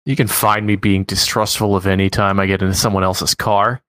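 A man with a medium-pitched voice chats as a podcast co-host. He spins anecdotes in a wry, self-deprecating manner, recorded dry and close. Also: level tone, fairly quick, a bit bright, no echo. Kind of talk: casual anecdotal chat.

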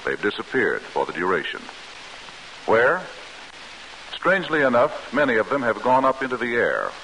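Mid-pitched male vintage radio pitchman. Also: big pitch swings, moderate pace, bright, forceful tone, low-quality recording. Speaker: commercial announcer. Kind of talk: scripted 1940s radio sponsor message. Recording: faintly hissy old radio broadcast.

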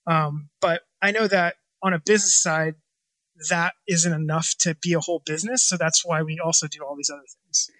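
Bright-voiced man chatting loosely. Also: on the high side, fairly even intonation, brisk, dry recording, poor audio. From Washington State, USA. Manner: loose and rambling, many ums and restarts. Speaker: chef and restaurant owner. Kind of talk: casual interview answers.

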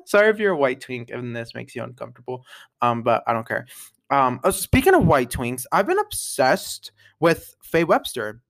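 A man's voice: mid-range voice, a bit bright. Rambling young man hosting a podcast. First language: English. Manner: rambling, many fillers and restarts, frequent laughs. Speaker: podcast host. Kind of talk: unscripted casual chat.

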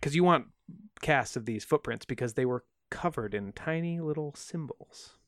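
A man's voice: mid-range voice, lively intonation, moderate pace, no echo, clean studio sound. A man co-hosting a podcast, recounting an odd story in a wry, joking way with plenty of fillers. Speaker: podcast co-host. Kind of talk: casual storytelling of a strange tale.

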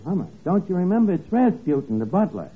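A man's voice: high voice, big pitch swings, fast talker, breathy edge, heavily acted. A man acting a wisecracking, slangy detective's sidekick who narrates mock-dramatically in a 1950s radio comedy. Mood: eager. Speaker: comedy show lead, narrator. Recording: tinny, noisy old radio recording.

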